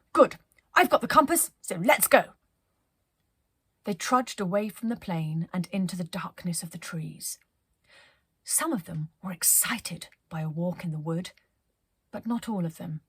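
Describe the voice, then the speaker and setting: A woman hosting storytime, narrating a children's story expressively and deliberately, with hushed phrase endings. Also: lowish voice, breathy edge, no room sound. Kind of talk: animated children's story reading.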